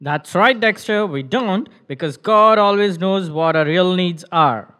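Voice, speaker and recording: A man voicing an elderly fisherman grandfather in a children's puppet show, with a slow, drawn-out storytelling manner. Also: high voice, fairly bright tone. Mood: gentle, assured.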